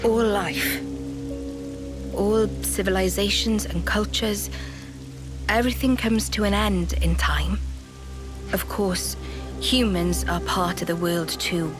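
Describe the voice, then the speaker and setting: A woman plays a wise young woman in a video game cutscene, speaking in a measured, gentle way at a middle pitch. Game music plays loudly behind her. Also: steady tone, natural voice. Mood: solemn. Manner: measured, explanatory. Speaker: game character Nia.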